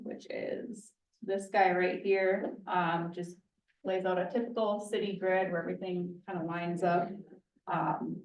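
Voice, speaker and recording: A quiet-voiced woman walks a room through a traffic study, pausing on plenty of ums. She stands some way from the mic, and her voice rings around a roomy meeting hall. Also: medium pitch, recorded from a distance, muffled recording. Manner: soft-spoken, explaining with frequent ums. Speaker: traffic engineer presenting a study. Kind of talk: public meeting presentation.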